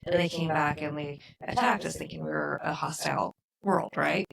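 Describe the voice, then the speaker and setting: A middle-aged woman with a gravelly, creaky voice, chatting casually as a podcast host. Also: deep voice, fairly even intonation, moderate pace, a bit bright, poor audio. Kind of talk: casual chat.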